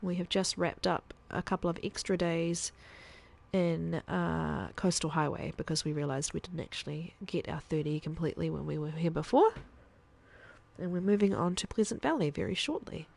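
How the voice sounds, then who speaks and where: A woman streaming a survival game, thinking aloud in a chatty way with soft muttered asides. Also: low-pitched, moderate pace, gravelly. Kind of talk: casual gameplay commentary.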